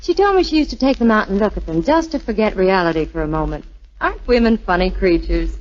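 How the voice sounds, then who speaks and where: A woman with a very animated, mid-pitched voice chats as a spokeswoman in scripted 1940s radio style. The old recording is muffled. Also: fast. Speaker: advertising spokeswoman.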